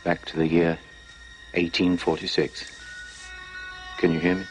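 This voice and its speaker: A hypnotist, guiding a subject with slow, soothing commands. His voice is very deep and unhurried. Faint music plays under him. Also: level tone.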